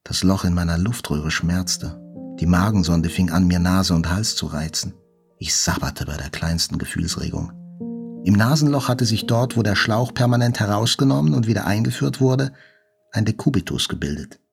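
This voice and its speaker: An actor playing a wounded journalist, narrating his hospital recovery in a measured, reflective literary style. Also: sits low, animated, gravelly, soft background music. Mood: sober, detached. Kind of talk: scripted literary narration, radio play.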